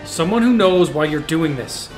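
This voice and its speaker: A man's voice: a little high, lively intonation, moderate pace, darkish tone, soft background music, close to an everyday voice. A man playing an earnest young masked hero in an audio drama. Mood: pleading. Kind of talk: fan audio drama acting.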